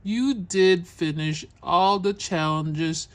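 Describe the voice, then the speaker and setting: Storybook big sister woman narrator acting, bashful and kind, very unhurried, gently reassuring. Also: lowish voice, pitched below the performer's own voice.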